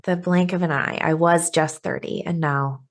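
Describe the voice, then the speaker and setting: A woman in her thirties co-hosting a book podcast, gushing and quick to laugh in casual chat. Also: on the low side, fairly even intonation. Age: 30s. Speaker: podcast co-host.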